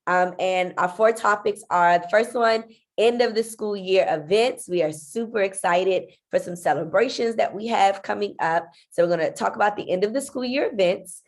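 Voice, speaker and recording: A woman's voice: mid-range voice, normal speed. A woman with blocked sinuses, her voice stuffy and nasal. She leads an online meeting for parents, friendly and full of ums as she goes. She speaks into a computer mic on a video call. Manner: friendly, filler-heavy with frequent ums. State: congested, nasal. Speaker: school principal.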